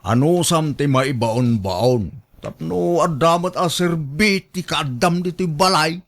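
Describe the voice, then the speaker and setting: A man's voice: on the high side, lively intonation, a little slow, fairly bright tone. A man with an Ilocano accent playing a domineering husband who nags and orders his wife around in a radio drama. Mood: bossy, demanding. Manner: domineering, nagging.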